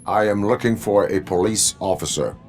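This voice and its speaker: An actor playing a stoic cyborg police officer in a video game, deadpan and clipped with formal phrasing. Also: male voice, on the low side, moderate pace, soft background music, natural voice. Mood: cold, commanding.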